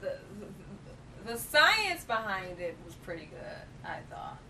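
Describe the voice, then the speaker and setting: A woman with a mid-pitched voice joins a casual chat with her opinions. She sits some way from the microphone, and clear noise and rumble run through the recording. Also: big pitch swings, slow, bright, forceful tone, distant mic.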